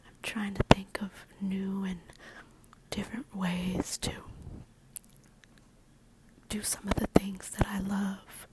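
A middle-aged woman hosting a podcast, talking in a soft, soothing ASMR whisper and repeating words as they fade away. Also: medium pitch, level tone, slow, long pauses, heavy background noise. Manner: soft unvoiced whisper throughout. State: nervous. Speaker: podcast host.

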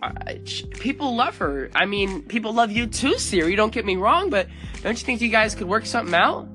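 A woman's voice: mid-range voice, lively intonation, a little fast, fairly bright tone, soft background music. Playful young woman hosting a fan podcast. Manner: playful, silly, giggly. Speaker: podcast host. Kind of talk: goofy comedy podcast banter.

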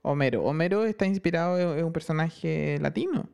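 A man's voice: fairly high pitch, gravelly, clean tone. A man with a Chilean accent co-hosting a podcast in casual, slangy chat, full of restarts. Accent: Chilean Spanish. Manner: slangy, animated, halting restarts. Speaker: podcast co-host.

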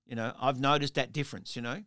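A man's voice: mid-range voice, fairly even intonation, fast talker, pushed and bright, dry recording, studio quality. A man hosting a casual interview, asking rambling questions full of restarts and little laughs. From Australia. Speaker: interview host.

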